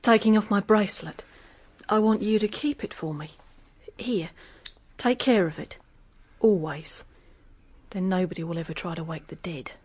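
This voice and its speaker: A woman's voice: fairly low pitch, normal speed, pauses often, darkish tone, slightly rough. An actress playing a tense, secretive, weary woman in a radio drama, speaking in short, urgent, evasive phrases.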